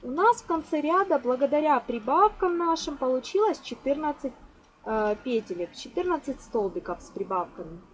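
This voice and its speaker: A woman's voice: sits high, lively intonation, moderate pace. A woman giving a step-by-step craft tutorial in a reassuring, instructional way, often counting aloud. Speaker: crochet tutorial host. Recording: noisy home recording.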